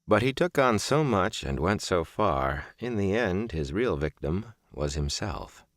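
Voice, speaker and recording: Low-voiced man narrating an audiobook, expressive pitch. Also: measured pace, no room sound, clean studio sound. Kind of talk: scripted audiobook narration of a reflective first-person memoir novel.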